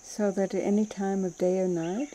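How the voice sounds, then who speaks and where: A woman meditation teacher leading a guided mindfulness practice in a soothing, unhurried manner, with gentle pauses between phrases. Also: mid-range voice, steady tone, slight background noise.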